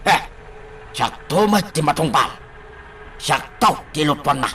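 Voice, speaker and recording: A man's voice: on the high side, animated, measured pace, sharp tone, slight background noise. A man playing a put-upon husband in a radio drama, pleading and grumbling as he gives in. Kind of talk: Filipino radio drama acting.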